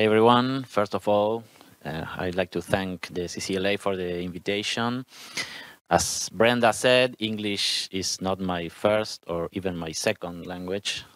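Low-voiced Argentine man at a panel. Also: fairly bright tone, no room sound. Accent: Argentine Spanish. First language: Spanish. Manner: careful, halting non-native English. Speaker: human rights advocate, panellist. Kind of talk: prepared panel remarks. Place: conference hall at a panel table. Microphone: handheld microphone.

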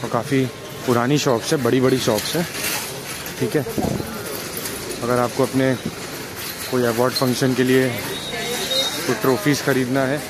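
Male street vlogger, Mumbai accent, mid-pitched, informal and repetitive tour-guide delivery, recorded outdoors amid heavy traffic noise. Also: fairly even intonation, unhurried, long pauses. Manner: informal, repetitive, pointing things out as he goes. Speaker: vlogger guiding a market tour. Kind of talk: casual walking-tour commentary. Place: outdoors on a busy market street. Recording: loud street traffic and ambience. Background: traffic and urban street noise.

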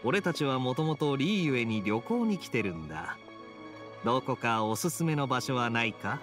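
A man voices a sly young knight captain from a video game, suave and teasing. The mid-pitched voice moves in lively swings over music. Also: moderate pace, lightly acted. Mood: playful. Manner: teasing, self-assured. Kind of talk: Japanese game voice acting.

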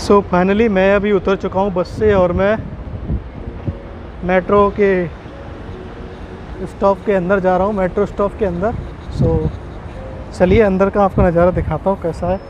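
High-voiced young man vlogging outdoors. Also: flat delivery, unhurried, pauses often, dull audio, background noise. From India. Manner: chatty, talks straight to the camera while walking. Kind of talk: casual travel vlog narration. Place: sunny city streets and a bus stop, outdoors. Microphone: wireless earbud mic, phone held at arm's length.

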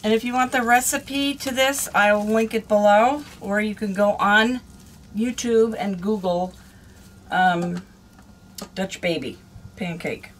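An older woman with a hoarse throat, chatting casually through a cooking vlog in a small camper kitchen. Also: mid-range voice, takes it slowly, slight background noise. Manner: chatty, homey, rambling. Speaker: cooking vlogger.

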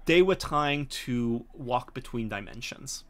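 A middle-aged man runs a tabletop game as its game master. His voice sits at a medium pitch, and he explains scenes in halting, hesitant phrases. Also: expressive. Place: indoor home study, video call.

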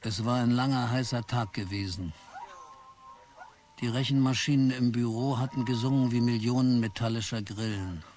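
A man's voice: mid-range voice, moderate pace, a little mellow, lightly acted. A man as a weary, bitter clerk narrating. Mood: brooding. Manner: bitter, tired and brooding. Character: weary, put-upon office clerk Charlie. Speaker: narrator and main character. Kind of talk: first-person radio play narration.

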